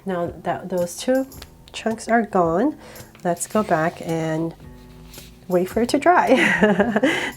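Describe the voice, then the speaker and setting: A woman with a cold guiding viewers step by step through a drawing, relaxed and laughing a little at her own slips. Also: fairly low pitch. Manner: relaxed, with small laughs and self-corrections. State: sick, voice a bit off. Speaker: drawing tutorial host.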